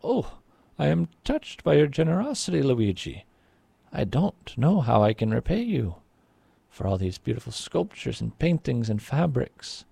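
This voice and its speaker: A courtly island king speaks in formal, gracious phrases that swing up and down in pitch, as a male storyteller puts him on. The voice stays dark and gravelly, with a close, dry sound. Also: sing-song, measured pace, no room sound, exaggerated voice. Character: grateful, formal island king, older man.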